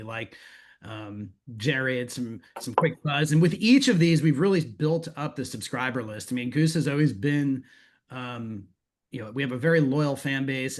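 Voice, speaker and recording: Halting middle-aged man, medium-pitched voice. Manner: halting, searching for words, anecdotal. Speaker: guest, music industry manager. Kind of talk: casual panel interview answers.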